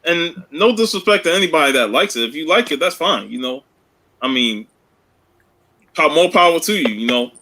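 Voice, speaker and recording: A man's voice: fairly high pitch, expressive, normal speed, pushed and bright. A man talking casually on a podcast panel, easygoing and colloquial, often tagging phrases with "you know". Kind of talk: casual chat.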